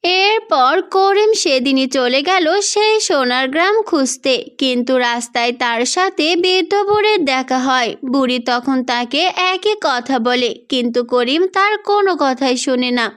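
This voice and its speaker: Slow, high-voiced woman storyteller. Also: expressive, a bit bright. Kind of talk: scripted fairy tale narration.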